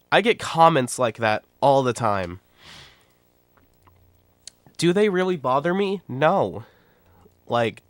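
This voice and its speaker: A man's voice: mid-range voice, no echo. A man in his twenties with a nasal, stuffy voice from a cold, talking bluntly and rambling in casual livestream commentary. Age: 20s. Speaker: YouTuber and streamer.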